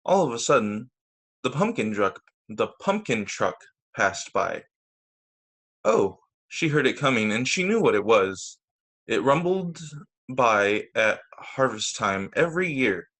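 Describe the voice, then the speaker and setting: A male teacher reads a children's picture book aloud over a video call. His voice sits at a medium pitch, and he delivers the narration expressively, leaning on key words. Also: very animated, fairly bright tone. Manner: deliberate emphasis on key words, with short asides to listeners. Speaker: teacher reading at story time.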